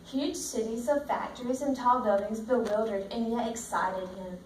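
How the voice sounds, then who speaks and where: Female teacher, storybook read-aloud, higher-pitched voice, roomy sound with faint noise. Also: fairly even intonation, normal speed, a little mellow. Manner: checks understanding with simple questions. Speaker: teacher reading a picture book to children. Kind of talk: read-aloud with questions to young pupils. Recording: roomy, distant mic, faint background noise.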